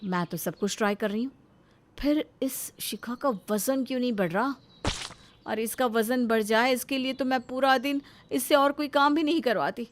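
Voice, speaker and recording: A woman's voice: fairly high pitch, moderate pace, a little hiss or hum, close to an everyday voice. A woman voicing a resentful, falsely sweet elder sister-in-law, complaining dramatically in a moral story. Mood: exasperated. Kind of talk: animated Hindi moral story dialogue.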